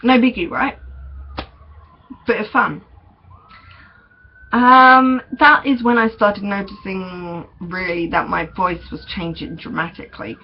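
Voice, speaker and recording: A man's voice: high-pitched, steady tone, slow pace, vocal fry, long pauses. A young man, chatting casually in a vlog update, hesitant with many ums and small laughs. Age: young adult. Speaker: vlogger. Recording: dull lo-fi recording with faint noise.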